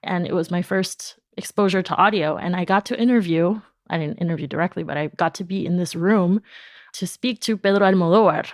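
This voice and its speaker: A woman from New York whose first language is Spanish answers interview questions. She tells stories with animation and laughs often. Her voice sits slightly low and is recorded close and dry. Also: brisk, no room sound. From New York, United States. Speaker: podcast company founder, guest.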